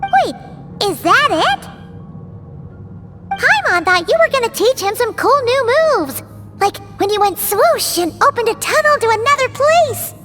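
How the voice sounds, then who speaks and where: A woman voicing a tiny, excitable fairy companion girl in an anime video game, childlike, bubbly and boastful. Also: very high-pitched, unhurried, music in the background, full character voice. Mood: puzzled, disappointed.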